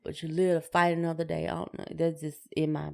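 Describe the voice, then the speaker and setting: Creaky-voiced woman in casual chat. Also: sits low, level tone, quick pace, dark tone. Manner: laconic, brief replies. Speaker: podcast co-host.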